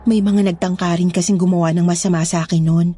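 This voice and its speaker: A woman's voice: lowish voice, fairly even intonation, fast, warm tone, a little hiss or hum, natural voice. A woman playing a stern, protective, secretive nun with a firm, solemn manner in an audio horror story. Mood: grave, protective.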